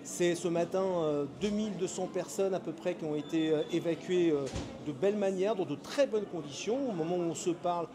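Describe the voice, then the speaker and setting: A man, a local mayor, giving a measured press statement with frequent hesitations and filler pauses. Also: fairly high pitch.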